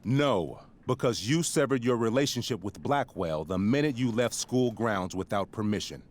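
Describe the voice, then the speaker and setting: A stern middle-aged school principal acted by a man with a lowish voice. He speaks in formal, pompous, wordy sentences, handing down judgment as in a dramatic video game scene. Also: expressive, normal speed, close to an everyday voice. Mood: cold, severe.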